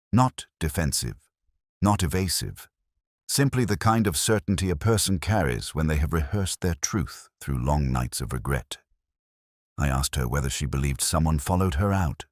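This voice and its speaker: A man with an extremely low voice narrates a detective mystery as a brooding, weary investigator. His delivery is measured and solemn, yet the pitch moves expressively through each phrase. The recording is dry and close, with no sense of a room. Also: breathy edge, dry recording. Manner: measured, literary, solemn storytelling. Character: brooding, world-weary male police detective recounting a case. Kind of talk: scripted first-person detective story narration.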